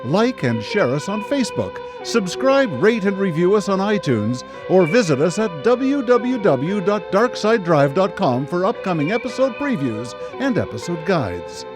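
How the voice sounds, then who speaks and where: A man's voice: sits high, big pitch swings, normal speed, hardly any pauses. A man announcing radio drama credits in a theatrical, scripted style. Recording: music under broadcast announcement.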